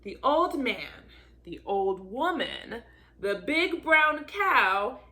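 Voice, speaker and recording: A woman with a very high, bright voice reads a children's story aloud. She is highly animated and theatrical, swinging widely in pitch. Her delivery is unhurried, with key words drawn out for emphasis. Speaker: volunteer storybook reader.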